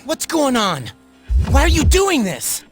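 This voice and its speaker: Impulsive teen boy, very high, swooping, emotional pleas; anime game dub under loud music. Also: wildly swooping pitch, unhurried, exaggerated voice. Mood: distraught. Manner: pleading, strained outbursts.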